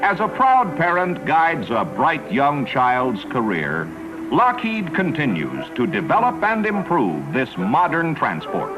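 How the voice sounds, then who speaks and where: Male American narrator for a vintage promotional film, lively intonation, polished announcer delivery over a clear music bed. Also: a little high, takes it slowly, lo-fi sound. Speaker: newsreel narrator. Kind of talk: 1950s promotional newsreel narration, scripted.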